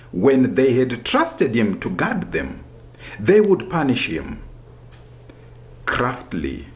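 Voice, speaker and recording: A man reading a scripted folktale as a storyteller, in a deliberate, expressive manner. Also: mid-range voice.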